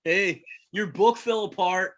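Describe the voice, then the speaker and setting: A man's voice: high voice, takes it slowly, fairly bright tone, lo-fi sound. A man playing a jeering teenage jock bully in a table read, with a taunting delivery. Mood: mocking. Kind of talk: live table read acting.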